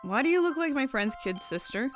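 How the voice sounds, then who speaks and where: A woman's voice: high voice, big pitch swings, fairly quick. A woman voicing a skeptical, sarcastic young female pegasus in an audio fan fiction story. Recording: music behind the voice.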